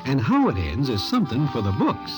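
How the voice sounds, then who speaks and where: Animated Broadway storyteller. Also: male voice, medium pitch, brisk, music in the background. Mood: wry, confiding. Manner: stilted, present-tense storytelling slang. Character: colorful streetwise Broadway guy telling a gamblers' tale. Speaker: narrator. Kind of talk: old-time radio scripted narration.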